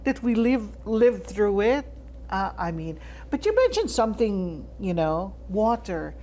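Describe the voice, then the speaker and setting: A woman's voice: mid-range voice, very animated, unhurried. A woman from the Philippines hosting an interview show, chatting casually and reacting often with "wow.". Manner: warm reactions, frequent wows. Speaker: show host.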